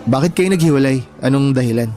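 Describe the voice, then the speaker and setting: An actor playing a humble, shy young janitor on a date in a Filipino audio drama, talking gently and conversationally with a Filipino accent. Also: male voice, medium pitch, fast talker, faint music behind, close to an everyday voice. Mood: gentle, curious. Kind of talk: Tagalog audio drama dialogue.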